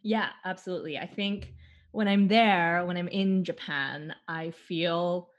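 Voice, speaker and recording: A Canadian-born woman, a theater artist, speaking slowly and thoughtfully in an interview, often pausing and laughing lightly. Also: lowish voice, fairly even intonation, dull audio. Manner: slow, thoughtful, with frequent laughs and pauses. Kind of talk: conversational interview, opening with a guided imagined scene.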